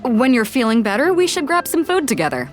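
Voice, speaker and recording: A woman's voice: high voice, very animated, fairly quick, soft background music. An actress playing a confident, easygoing female crew captain in an anime game, with casual assurance.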